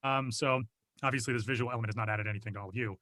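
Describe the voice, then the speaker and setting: A man's voice: medium pitch, steady tone, racing, sharp tone, no room sound. A man co-hosting a podcast, chatting casually and joking about himself, recorded in a small soundproofed closet studio. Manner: rambling, self-deprecating, joking. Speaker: podcast co-host. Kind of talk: casual chat. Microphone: home studio mic over video call.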